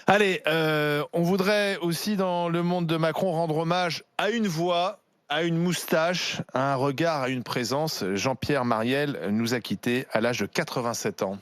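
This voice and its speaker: A man's voice: fairly high pitch, unhurried, fairly bright tone, no echo, studio quality. A man presenting live as a talk radio host, steering a panel discussion.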